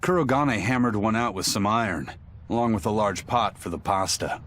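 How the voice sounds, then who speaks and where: Low, laconic tough man played by an actor. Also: moderate pace, fairly bright tone, slight background noise, lightly acted. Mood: matter-of-fact. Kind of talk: English anime game dub.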